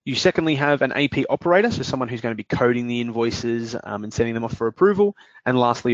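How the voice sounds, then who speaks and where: A man presenting and walking through a software demonstration in a steady explanatory way, with occasional fillers. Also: mid-range voice, no echo. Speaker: product presenter. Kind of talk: software demo walkthrough. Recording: dull dry lo-fi audio.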